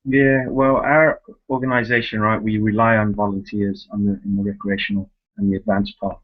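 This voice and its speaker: A man's voice: on the low side, lively intonation, normal speed, creaky. Guy hosting a call interview, emphatic, keeps asking right?, kinda dull lo-fi line. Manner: emphatic, repeats words and seeks agreement with tag questions. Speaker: host, youth soccer club director. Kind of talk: conversational interview on a conference call. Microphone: conference call. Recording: muffled, lo-fi conference call line.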